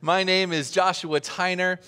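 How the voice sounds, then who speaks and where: A man's voice: sits high, fairly even intonation, a little slow, pushed and bright, clear, resonant tone. A man preaching a conversational sermon as a pastor, chatty and joking, asking the audience questions. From Austria. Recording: long reverb of a large hall.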